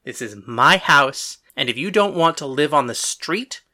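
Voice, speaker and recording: A woman's voice: low-pitched, expressive, measured pace, pushed and bright, pitched below the performer's own voice, exaggerated voice. A woman voicing a defiant, exasperated mayor and husband with an indignant, blustering manner in an audiobook. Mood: defiant, angry. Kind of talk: audiobook character dialogue.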